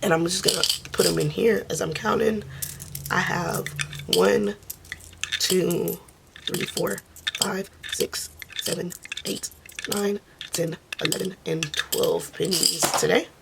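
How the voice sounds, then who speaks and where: A woman who is losing her voice speaks softly and casually, counting money aloud in a budgeting vlog. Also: fairly even intonation, slow, creaky, very noisy. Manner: soft, low-key, counting under her breath. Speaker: budgeting vlogger.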